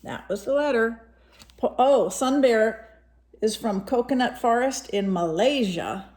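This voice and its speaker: A woman's voice: fairly high pitch, a little slow, a little mellow. A woman teacher reading a storybook aloud to young children, expressively, with simple explanatory asides.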